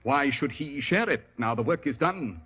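An actor playing a shrewd, commanding detective in 1940s radio drama style, with precise, formal, theatrical delivery. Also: male voice, medium pitch, lively intonation, moderate pace, dull audio, very noisy, lightly acted. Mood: coolly accusing. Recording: vintage 1940s radio recording.

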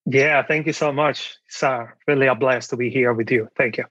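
A man's voice: medium pitch, fairly even intonation, normal speed, fairly bright tone, dry recording, highs cut off. A young man from the Dominican Republic with a Dominican Spanish accent, telling his story casually as an interview guest. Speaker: podcast guest, online seller.

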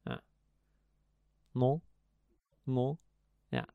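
A man's voice: mid-range voice, huge pitch swings, extremely slow, vocal fry, smooth, dry recording, narrow, tinny audio. A man co-hosting a podcast, chatting casually in loose, joking banter. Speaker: podcast co-host. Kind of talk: casual chat.

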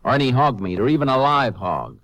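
A man playing a calm, shrewd Texas Ranger in a 1950s radio drama, speaking in a terse, matter-of-fact way. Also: medium pitch, fairly quick. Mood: businesslike. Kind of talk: 1950s radio drama acting with first-person narration. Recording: hissy, noisy old 1950s radio recording.

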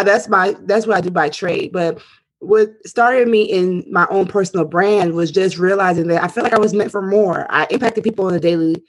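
A woman from Ghana who works as a counselor and speaker, talking as a guest in a chatty, enthusiastic way. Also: medium pitch. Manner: chatty, enthusiastic, laughs easily. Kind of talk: casual interview chat.

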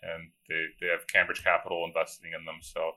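Man, 30s, bright tone, lowish pitch, relaxed, lots of "like". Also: fairly quick, low-quality recording. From Chicago, USA. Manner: easygoing, frequent word repeats and "like" fillers. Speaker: company founder, guest. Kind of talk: casual storytelling interview. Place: remote call from home.

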